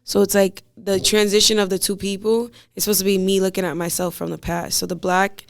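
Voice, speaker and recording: A woman rapper with a raspy voice, talking casually and with lots of slang in an interview. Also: medium pitch, little pitch movement, brisk. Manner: slangy, rambling, laughs often. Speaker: rapper guest.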